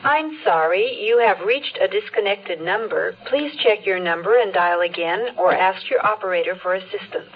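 A woman's voice: mid-range voice, moderate pace, hardly any pauses, natural voice. Lady doing a recorded phone operator announcement, formal and impersonal, dull old radio sound. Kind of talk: scripted automated phone message. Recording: dull telephone message in radio drama.

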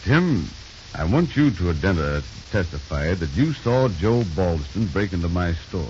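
Deep-voiced, domineering frontier boss. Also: male voice, sing-song, normal speed. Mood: threatening. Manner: curt, controlled, quietly menacing. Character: scheming, domineering boss who owns a frontier town. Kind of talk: 1930s radio acting. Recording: hissy, muffled 1930s radio recording.

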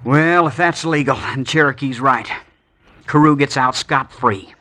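An actor playing an earnest young prospector with a shoulder wound in a 1950s radio drama. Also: male voice, medium pitch, unhurried, sharp tone.

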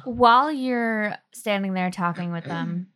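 Woman, medium pitch, game master, casual tabletop narration. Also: a little slow, background noise.

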